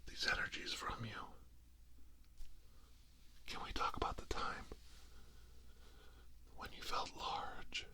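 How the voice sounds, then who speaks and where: Slow, whispering man doing ASMR healing. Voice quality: breathy whisper, mostly unvoiced. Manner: slow, hushed, soothing, with long pauses between short phrases. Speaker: ASMR reiki healer. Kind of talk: soft-spoken whispered ASMR guided healing.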